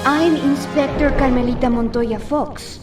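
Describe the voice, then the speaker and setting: A bold cartoon-game police inspector, high-pitched and firm. She delivers her words slowly and dramatically, in an echoey space with music behind. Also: fairly even intonation, distant mic. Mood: urgent, protective. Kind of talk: video game voice acting.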